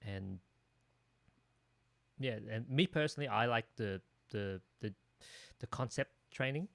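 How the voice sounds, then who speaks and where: A man speaks on a panel at a mid pitch, frank and a bit halting as he restarts his words. The sound is dry and close. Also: creaky, fairly bright tone, dry recording. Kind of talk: casual panel chat.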